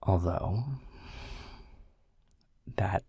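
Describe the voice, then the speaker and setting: A man playing a world-weary, grumpy male middle manager who talks in a hushed, breathy, sardonic way and swears casually in an audio roleplay. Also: sits low, fairly even intonation, very slow, dry recording, clean studio sound, full character voice. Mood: wry, teasing. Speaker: boss.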